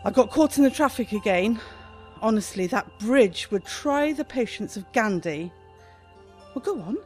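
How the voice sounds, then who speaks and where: An actress playing a bossy, easily panicked British shop owner in a radio comedy, chatty and theatrical. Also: female voice, a little high, animated, normal speed, soft background music, natural voice. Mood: brisk, chirpy. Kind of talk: radio play comedy acting.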